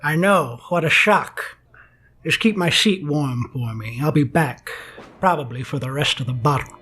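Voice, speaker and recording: An actor playing a gloomy, hard-drinking 1950s police detective, narrating a noir radio drama with wry self-mockery. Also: male voice, on the high side, a little slow, bright, forceful tone, recorded from a distance. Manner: brooding, wry.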